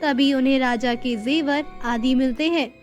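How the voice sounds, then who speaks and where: A woman's voice: high voice, a little slow. A woman storyteller giving a scripted narration of a horror story. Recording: music under the voice.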